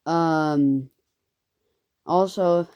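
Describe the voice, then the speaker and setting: An eleven-year-old girl telling a casual story to viewers in a halting, rambling way, her voice occasionally squeaky. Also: a little mellow, clear, resonant tone. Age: child, eleven. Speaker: first-time video creator.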